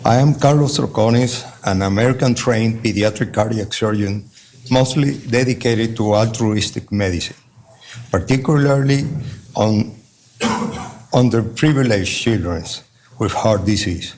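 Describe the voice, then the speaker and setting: An older man with a Venezuelan Spanish accent, a native Spanish speaker, reading a halting statement to a court as a father. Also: lowish voice, darkish tone, a little hiss or hum, rough recording. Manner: slow, halting, careful phrasing with restarts. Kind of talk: reading a victim-impact-style court statement.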